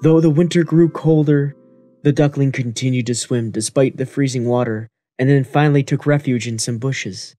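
A man's voice: mid-range voice, moderate pace, dark tone, no echo. A man reading scripted narration for a children's fairy tale. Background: music.

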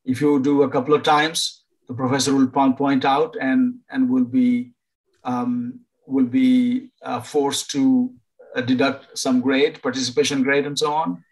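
An older man from Nepal, a professor advising international students over a video call, pausing often to find words. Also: mid-range voice, level tone, low-quality recording. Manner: halting, many fillers and restarts. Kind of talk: informal online presentation.

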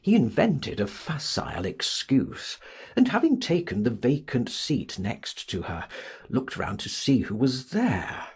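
A man reading a literary audiobook as a dramatic narrator, in a theatrical, expressive and deliberate manner. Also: mid-range voice, slightly rough.

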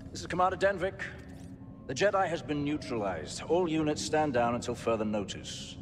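A man's voice: on the high side, expressive, normal speed, background noise, lightly acted. A man playing a haughty, calculating Imperial intelligence commander in a video game, formal and condescending. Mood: cold, condescending. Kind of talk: cinematic game dialogue.

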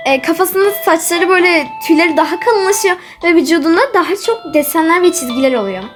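A woman with a very high, girlish-pitched voice chats casually to her viewers as a game channel host. She gushes as she describes what is on screen, with music playing behind her. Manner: gushing, chatty, often addressing viewers.

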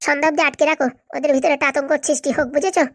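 A woman's voice: high voice, steady tone, rapid-fire, no room sound, clean studio sound. A woman voicing a bossy, boastful, scheming female power broker in a cartoon, brash and commanding. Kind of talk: amateur cartoon voice acting.

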